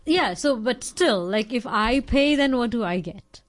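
A woman with an Indian English accent co-hosts a radio talk show. She explains her points in a conversational way, and her voice sits a little higher than most women's. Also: animated, a little slow. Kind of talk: casual on-air radio chat. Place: radio studio.

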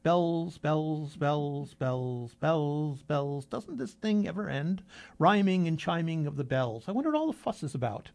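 A man's voice: a little high, expressive, a little slow, gravelly, darkish tone. A man voicing a drunk, theatrical young man who declaims verse in an overblown way. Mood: dreamy tipsy.

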